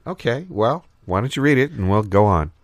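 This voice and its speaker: Low deadpan man playing a advice show host. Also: brisk, no room sound. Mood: rambling. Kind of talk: improvised comedy, mock mailbag show.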